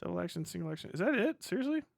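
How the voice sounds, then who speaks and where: A man's voice: sits high, very animated, very fast, vocal fry, no room sound, clean studio sound. A man giving casual, profane livestream commentary in an exasperated, ranting manner. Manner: ranting, exasperated, heavy swearing. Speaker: livestream host reacting to videos.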